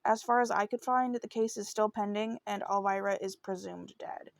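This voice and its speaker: A woman's voice: mid-range voice, steady tone, moderate pace, creaky, no room sound. A woman hosting a true crime show, telling a case in a chatty, informal, conversational way. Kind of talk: casual true crime narration.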